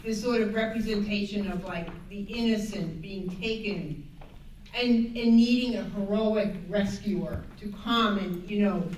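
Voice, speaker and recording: An older American woman giving an earnest, emphatic, unscripted advocacy talk as a panel speaker, often halting and rephrasing as she goes. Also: mid-range voice, a little mellow. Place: echoey indoor room at a public panel event. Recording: echoey room, distant mic, background noise.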